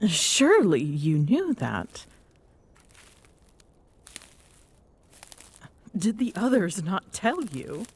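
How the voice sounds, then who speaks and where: A woman's voice: on the low side, wildly swooping pitch, takes it slowly, a little mellow, slightly rough, heavily acted. A woman playing a tall Amazonian queen in an intimate ASMR roleplay, smitten and teasing, bossy yet tender, often slipping toward a whisper. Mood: teasing, affectionate. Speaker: voice actor in audio roleplay.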